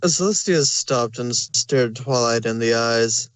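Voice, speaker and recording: A man's voice: mid-range voice, slow, no echo. A man narrating a scripted story as its narrator.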